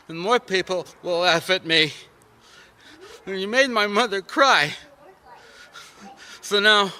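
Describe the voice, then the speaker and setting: A man playing a simple, wounded, childlike character with a grudge, halting and plaintive, in a radio mystery. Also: high voice, pushed and bright, exaggerated voice. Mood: agitated, menacing. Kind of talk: radio mystery drama acting.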